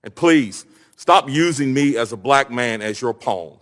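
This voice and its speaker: A man giving formal public testimony as a hearing witness, speaking in an emphatic, deliberate way. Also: medium pitch, expressive, a bit bright, big-room sound. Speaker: hearing witness, state official.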